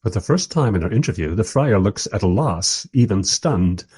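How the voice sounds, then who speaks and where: Radio drama narrator, male actor: husky, lowish, wry and musing filmmaker telling his own story. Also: animated, normal speed, darkish tone. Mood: wry, reflective.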